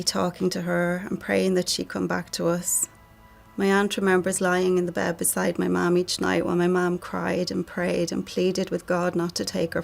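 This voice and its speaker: A middle-aged woman with an Irish accent reads a prepared family tribute in a solemn, measured way. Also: lowish voice, gravelly. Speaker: bereaved sister giving a family statement.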